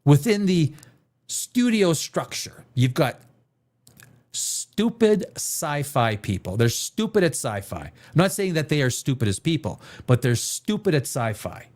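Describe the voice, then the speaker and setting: A man's voice: a little high, very animated, unhurried, darkish tone. A man, chatting casually as a livestream host in an opinionated, emphatic way, repeating phrases to drive points home. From Canada. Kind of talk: casual film chat, rambling commentary.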